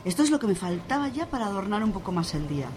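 A woman's voice: mid-range voice, very animated, racing, noisy background, natural voice. An actress in a radio serial playing a sensible but flustered young woman with a Spanish accent, exclaiming and stammering in exasperation. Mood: exasperated. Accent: Spain. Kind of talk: audio drama acting.

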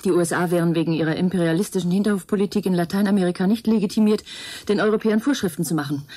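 Actress doing a dry sceptical career woman, lowish voice, close dry sound, radio play. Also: very fast, mellow, no room sound, natural voice. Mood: dry, sober. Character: sceptical, dryly ironic professional woman. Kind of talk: naturalistic German radio drama dialogue.

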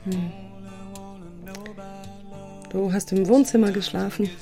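Mellow-voiced, distant wife. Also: female voice, medium pitch, fairly even intonation, slow, gravelly, soft background music. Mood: cool, reproachful. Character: sheriff's wife, cool, distant, quietly reproachful. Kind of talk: German radio drama dialogue.

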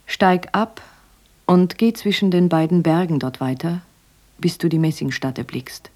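An actress voicing a solemn storyteller who measuredly announces a radio play and recites from an old Arabian tale. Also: female voice, lowish voice, measured pace, darkish tone. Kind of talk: radio drama title announcement and literary recitation.

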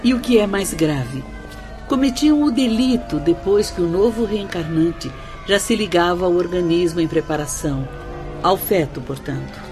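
A woman plays a grave spirit instructor in a radio drama, explaining in a solemn, steady way. Her voice sits rather low for a woman. Music plays clearly beneath her. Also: moderate pace, natural voice. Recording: music bed under voice.

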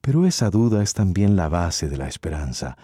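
A man narrating a Costa Rican radio drama in a solemn, measured way. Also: low-pitched, sing-song, dark tone, slightly rough, no echo, clean studio sound. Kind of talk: scripted narration.

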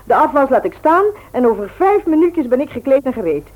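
An actress playing a brisk, motherly middle-aged housewife in an old Dutch radio family serial. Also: sits high, sing-song, darkish tone. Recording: muffled old radio recording.

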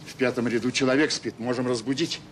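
A man's voice: fairly even intonation, quick pace, close to an everyday voice. A man acting in an audio drama as a self-assured, sarcastic education official with an oratorical, condescending manner. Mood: sarcastic, polite. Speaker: conference speaker. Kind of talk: radio drama acting, formal speech. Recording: noisy 1980s audio play with rumble.

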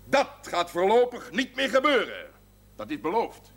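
A man's voice: sits high, wildly swooping pitch, moderate pace, fairly bright tone, exaggerated voice. An actor playing a proud, jovial brewery man giving a declamatory, folksy speech to the workforce in a television drama. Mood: earnest, announcing. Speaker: actor in a Dutch television series. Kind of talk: celebratory speech to assembled brewery staff.

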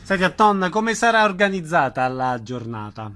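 A man's voice: fairly high pitch, very animated, moderate pace, sharp tone. A man hosting a radio interview, asking questions in a conversational style.

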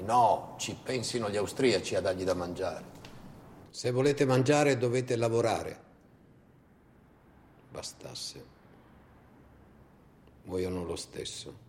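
A man's voice: lowish voice, darkish tone, slight background noise. A man performing a dramatic war monologue as a theatrical, bitterly ironic storyteller. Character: bitter, sardonic male storyteller of war captivity.

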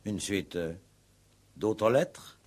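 An actor playing a calm, probing police commissioner in a radio play, measured and drily ironic. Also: male voice, lowish voice, heavily acted. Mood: grave, measured. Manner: measured, interrogating, gently ironic. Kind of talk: 1960s French radio play acting.

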